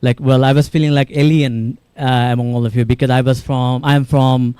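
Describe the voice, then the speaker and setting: Young man, conference speech, halting fillers, smooth clear tone, dry close sound. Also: mid-range voice, flat delivery, dark tone, no room sound. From Bangladesh. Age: young adult. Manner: informal and searching, with fillers and repeated words. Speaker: panel speaker.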